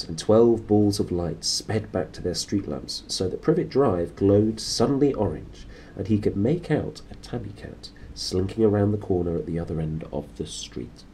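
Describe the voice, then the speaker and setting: Male storyteller, very low and mellow, informal and playful, some background noise. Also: poor audio. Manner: informal, playful, addressing listeners as a team. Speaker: reader, host of a read-aloud series. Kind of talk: lively storybook narration with informal asides.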